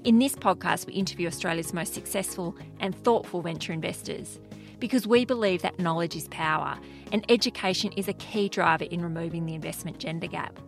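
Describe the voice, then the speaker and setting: A woman hosting a podcast, reading a scripted introduction clearly and then asking interview questions. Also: lowish voice, fairly quick, faint music behind. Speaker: podcast host.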